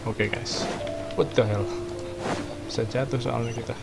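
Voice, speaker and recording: A man's voice: mid-range voice, level tone, normal speed, vocal fry. A man with an Indonesian accent giving casual, slangy gameplay commentary with muttered, sweary reactions. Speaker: gaming streamer. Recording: loud game music over voice. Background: loud game music.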